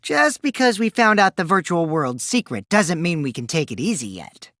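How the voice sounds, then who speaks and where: A man's voice: a little high, big pitch swings, normal speed, sharp tone, no echo, clean studio sound, heavily acted. A man voicing a mischievous, taunting teenage boy in an English video game, with childish, singsong mockery and theatrical delivery. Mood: sly, cool. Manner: childish, singsong mockery, theatrical swings from gleeful to coolly sly.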